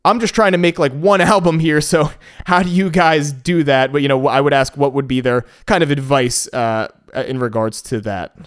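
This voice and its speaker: A man's voice: a little high, moderate pace, few pauses. A man co-hosting a music podcast, chatting casually with a rambling, joking manner. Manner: rambling, joking, frequent fillers. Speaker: podcast co-host.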